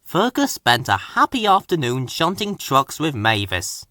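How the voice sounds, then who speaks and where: A man reading a scripted children's story aloud with expressive, dramatic narration. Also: fairly high pitch, measured pace, no echo. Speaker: storybook reader.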